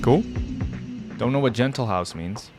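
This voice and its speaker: A man's voice: medium pitch, measured pace. A man hosting a reaction video, thinking aloud in casual, informal commentary. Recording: music behind the voice.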